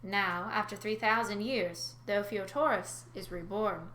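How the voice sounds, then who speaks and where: A woman's voice: mid-range voice, steady tone, a little slow, fairly bright tone, close to an everyday voice. A woman voicing a solemn, ancient huntress from a storybook, with archaic wording. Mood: solemn, ominous. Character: grave ancient young huntress telling old lore. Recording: roomy echo, faint background noise.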